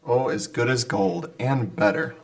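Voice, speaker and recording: A man playing a gentle, pious, kindly father in an old-time radio drama. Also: medium pitch, a little slow, close to an everyday voice. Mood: fond.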